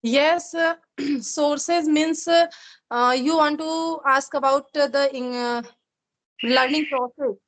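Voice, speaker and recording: A woman with an Indian accent, a native Hindi speaker, chatting in English over a video call as practice, hesitant and full of fillers, often laughing softly. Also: high voice, steady tone, slow. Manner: hesitant, many fillers, frequent soft laughs. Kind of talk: casual English practice conversation.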